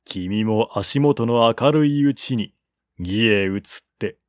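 Slow, persuasive turncoat general read by a man. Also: on the low side, no echo, studio quality. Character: persuasive turncoat general writing to a former comrade.

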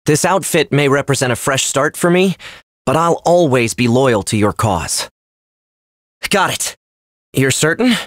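A man's voice: fairly high pitch, no echo, clean studio sound. A man voicing an earnest, loyal young armored swordsman for a video game, youthful and sincere. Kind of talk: video game character voice lines.

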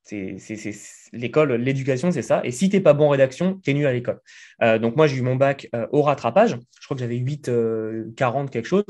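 A man's voice: medium pitch, animated, brisk, a little mellow. Man in his thirties, from France, casual chatty guest, living room, desk mic. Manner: chatty, many fillers, gestures while talking. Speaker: guest entrepreneur. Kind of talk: casual interview talk. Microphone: desk mic in frame.

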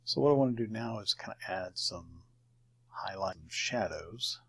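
A low-voiced man talks through his painting steps at a desk microphone, his tone gravelly and breathy. He speaks softly and unhurriedly, often sinking into a near whisper as he works. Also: lively intonation. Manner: unhurried, talks while working. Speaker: digital painting instructor. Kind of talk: quiet narrated painting tutorial.

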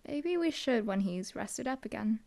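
A woman's voice: fairly high pitch, expressive, moderate pace, creaky, darkish tone. A young woman voicing a dry, teasing female hellhound demon bodyguard in an audio roleplay. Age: young adult. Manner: dry, teasing, laid-back.

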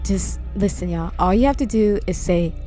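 A woman's voice: mid-range voice, normal speed, dark tone, close to an everyday voice. A woman playing a bossy young host of a spooky game night in a casual, slangy roleplay, repeating herself insistently over background music. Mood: coaxing. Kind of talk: improvised voiced roleplay, casual chat.

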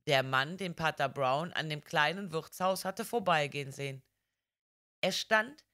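A woman's voice: lowish voice, a bit bright. A woman reading a literary crime story as a scripted audiobook narration.

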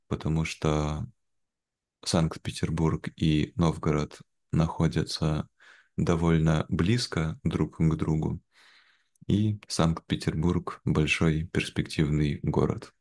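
A young man from Veliky Novgorod in Russia, speaking in simple, measured, clearly phrased conversation for language learners on a video call. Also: very deep voice, steady tone, a little mellow. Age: young adult. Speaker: interview guest, language teacher. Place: indoor room, video call. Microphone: video call, wearing headphones.

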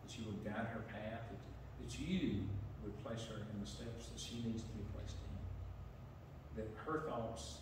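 A middle-aged man leading prayer as pastor, plain-spoken with some halting fillers, heard from a pulpit mic in a large church sanctuary. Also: on the low side, background noise. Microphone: pulpit mic, camera far back. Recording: echoey sanctuary from far off.

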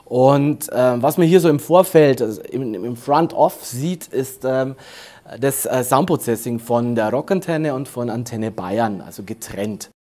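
Hoarse man with a cold, chatty. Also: mid-range voice, animated, a little slow, warm tone. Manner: chatty, laughs often, many fillers. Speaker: radio station technician giving a tour. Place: indoor room, then server room with air conditioning. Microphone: handheld recorder.

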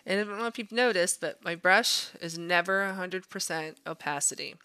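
Low-pitched, bright-voiced woman teaching drawing. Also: normal speed, smooth, no echo, faint music behind. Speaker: art instructor demonstrating digital drawing. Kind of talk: informal narrated drawing demonstration. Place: voice-over to a screen recording.

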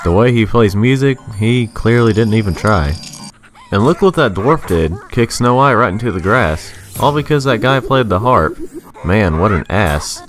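A man reading a scripted, rhyming video game review in a sarcastic, sing-song manner. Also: on the low side.

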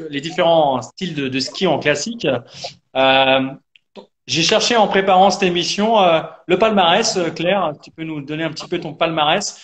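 Casting: young man as informal interview host, fairly high pitch, stumbling through repeated words. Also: a bit bright, a little hiss or hum. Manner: halting, repeats words while forming questions. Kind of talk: casual live interview. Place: indoors, plain room. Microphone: video call, device at arm's length.

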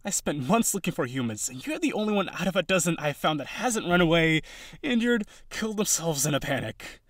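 A man's voice: a little high, big pitch swings, fairly quick, a bit bright, no echo, clean studio sound. A man voicing an eager, awkward, insecure young male harpy in an audio roleplay, talking to the listener in an earnest, rambling, nervous way. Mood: frustrated pleading.

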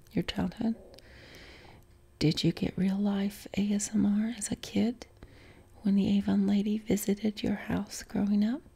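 A middle-aged woman with a softened Appalachian accent whispers close to the mic, her voice airy and husky with no tone behind it. She talks slowly and evenly, with a mellow, low-key flatness and frequent soft ums. She sits on a couch in her living room. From West Virginia. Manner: unvoiced whisper, unhurried with many ums. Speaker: ASMR creator. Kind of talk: whispered Q&A chat. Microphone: close mic, camera framed on torso.

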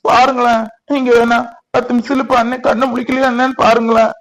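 A man's voice: very high voice, little pitch movement, fast, fairly bright tone, rough recording. A man playing a caring younger brother in a family melodrama, earnest and reassuring, with a southern Tamil Nadu accent.

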